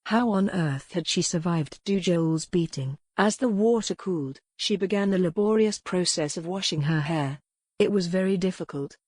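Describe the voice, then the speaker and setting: Low-voiced woman narrating, close and dry. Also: normal speed, a little mellow, dry recording. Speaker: audiobook narrator. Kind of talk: scripted narration of a historical romance novel.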